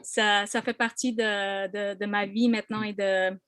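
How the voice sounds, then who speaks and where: A woman's voice: mid-range voice, little pitch movement, unhurried, a bit bright, clear, resonant tone, no room sound, studio quality. A woman artist talking personally and off the cuff as a panel guest, hesitant and searching for her words. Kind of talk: personal unscripted talk.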